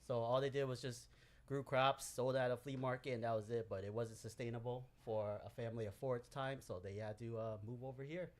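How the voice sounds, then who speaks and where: A man's voice: medium pitch, fairly even intonation, a little fast. Laid-back guy from Hawaii, podcast guest in easy chat. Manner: laid-back, peppers speech with "bro". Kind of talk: casual storytelling chat.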